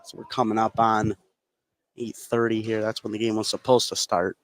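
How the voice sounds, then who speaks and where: A man's voice: fairly low pitch, flat delivery, moderate pace. A man giving live analysis as the color commentator on a basketball broadcast. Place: indoor basketball gym, courtside.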